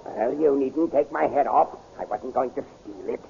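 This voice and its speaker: A fussy male court wizard acted by a man with a dark, muted voice. He hems, stammers and puts on pompous airs in old radio style, over a dull recording with faint noise. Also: mid-range voice, moderate pace, gravelly, lightly acted. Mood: fussy, condescending. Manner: hesitant, mumbling, stammering, pompous. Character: doddering, fussy male court magician of an evil queen. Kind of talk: 1930s radio acting.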